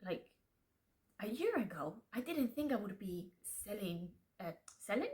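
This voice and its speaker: A woman's voice: mid-range voice, sing-song, a little slow, darkish tone. A young woman whose first language is Spanish chats openly to her camera about her writing hopes. She talks in a loose, self-deprecating way, restarting phrases as she goes. Her voice sits in an ordinary middle range. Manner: chatty, self-deprecating, restarts and laughs often. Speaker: aspiring author and channel host. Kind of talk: casual confessional vlog.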